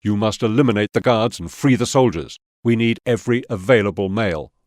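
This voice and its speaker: An actor playing a stern Soviet army officer giving scripted mission briefings in a war game. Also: male voice, lowish voice, a little fast, darkish tone, dry recording, clean studio sound, natural voice. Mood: grave, commanding.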